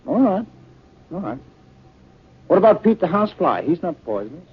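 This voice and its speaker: A man's voice: high-pitched, very animated, normal speed, slightly rough, full character voice. A man playing an exasperated but affectionate academic husband who loves insects, acted in 1950s radio drama style. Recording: noisy, dull 1950 radio recording.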